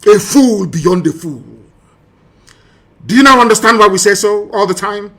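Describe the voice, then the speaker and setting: A Nigerian-accented man speaks as a political broadcaster in a fairly high, forceful voice. He is emphatic and scornful, leaning on rhetorical questions and repetition to drive each statement home. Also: lively intonation, normal speed. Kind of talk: impassioned political monologue commenting on archive audio.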